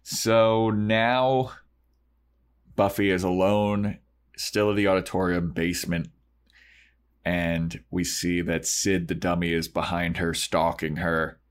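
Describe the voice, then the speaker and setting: A man with a somewhat low voice talks as a podcast co-host. He retells events casually, leaning on emphatic words as he goes. The delivery is informal and conversational. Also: little pitch movement, takes it slowly, smooth. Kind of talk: casual episode recap.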